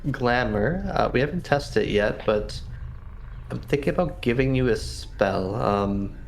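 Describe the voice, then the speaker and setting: A man's voice: mid-range voice, lively intonation, a little slow, vocal fry, a little hiss or hum. A man playing a tabletop roleplaying game, chatting casually and thinking aloud as he plans, somewhat hesitantly. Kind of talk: casual in-game planning chat.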